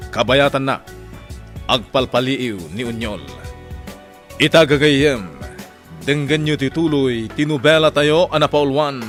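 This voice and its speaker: A male narrator with an Ilocano accent delivers scripted radio-drama storytelling. His voice sits at a medium pitch, with music behind it. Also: unhurried, long pauses.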